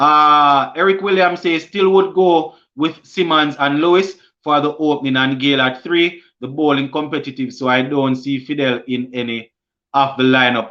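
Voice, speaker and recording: A Jamaican man hosting a casual cricket live stream, reading viewer comments aloud and answering them, often halting and restarting mid-sentence. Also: a little high, a bit bright, highs cut off. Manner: halting, many restarts and fillers.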